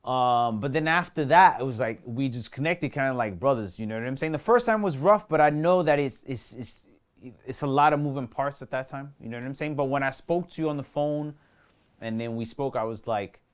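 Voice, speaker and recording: A man's voice: mid-range voice, fairly quick, smooth. Slangy New York man chatting in an interview. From New York City. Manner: slangy, repeats himself, acts out quoted remarks. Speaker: actor being interviewed. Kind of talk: casual interview chat.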